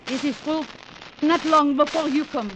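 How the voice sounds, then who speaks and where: An actress playing a scornful old voodoo priestess in a radio play, declaiming in broken English with a Haitian Creole accent. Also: female voice, high-pitched, moderate pace, natural voice. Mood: grudging, dismissive. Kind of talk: 1940s radio acting. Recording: noisy old radio, stormy effects.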